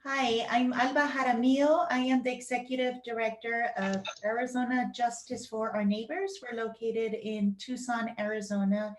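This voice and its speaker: Higher-voiced woman in an echoey room. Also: steady tone, normal speed, hardly any pauses. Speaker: nonprofit director. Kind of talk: formal self-introduction.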